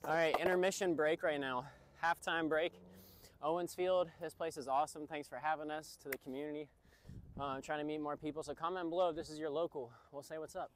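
A high-voiced man talks excitably at an outdoor skatepark, tossing out quick exclamations and laughs between runs. Heavy noise roars behind him the whole time. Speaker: vlog host skateboarding. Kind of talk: casual skatepark chatter. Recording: loud background noise outdoors.

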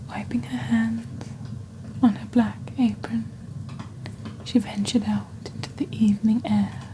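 Hushed, very slow, breathy witch played by a woman. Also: medium pitch, close to an everyday voice, low-quality recording. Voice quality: breathy, whispery. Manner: slow, deliberate, hushed storytelling. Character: soft-spoken witch presenting magical wares on a shopping channel. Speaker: ASMR performer as shopping channel presenter. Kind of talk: soft-spoken ASMR roleplay, scripted presenting. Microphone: close desk microphone.

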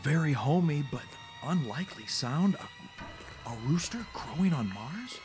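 A man's voice: on the high side, expressive, measured pace, music in the background. A man playing a wry, unflappable expedition scientist in a radio drama, dry and curious. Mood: wryly amused.

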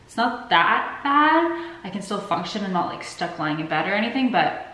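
A woman's voice: mid-range voice, sing-song, moderate pace, bright, forceful tone. Chatty sniffly woman in an echoey kitchen. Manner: chatty, rambling, talks to her cats. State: slightly sick, sniffly. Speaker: vlogger. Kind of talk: casual chat to camera. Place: home kitchen, indoors.

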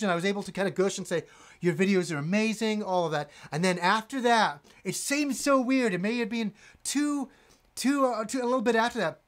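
A man in his forties, a gaming channel host, reading donations on a live stream with lively enthusiasm and rambling restarts, in a room with game shelves. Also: high-pitched. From Yorkshire, England, raised in Canada. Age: 40s.

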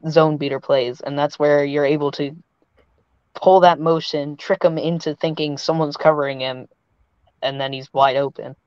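Young guy breaking down plays, smooth voice, muffled dull webcam audio. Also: fairly high pitch, no echo. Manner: measured, detailed breakdowns. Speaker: panelist. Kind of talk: casual sports analysis.

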